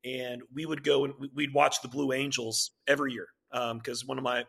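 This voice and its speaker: A man in his thirties from Maryland and Virginia, a Navy pilot, telling his background as an interview guest in a relaxed way with many fillers and restarts. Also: mid-range voice, fairly quick, creaky, breathy edge. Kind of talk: casual interview talk.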